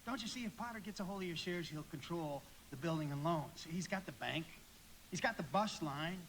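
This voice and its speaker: A man's voice: fairly high pitch, expressive, a little fast, fairly bright tone, slight background noise, low-quality recording. A man playing an earnest young small-town loan manager in a radio drama, folksy, impassioned and prone to stammering. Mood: pleading. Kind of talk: live radio drama acting.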